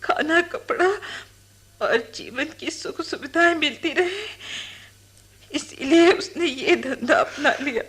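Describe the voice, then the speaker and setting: An actress playing a grieving, fiery-tempered poor village widow in a radio drama, speaking with emphatic, reproachful emotion. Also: female voice, high voice, takes it slowly, fairly bright tone. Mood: restrained grief. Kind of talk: Hindi radio drama acting.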